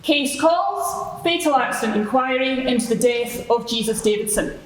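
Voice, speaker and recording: A woman playing a formal, orderly court clerk who briefs the public in a measured, official way. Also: sits high, lively intonation. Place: large courtroom with audience. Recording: echoey hall, faint background noise.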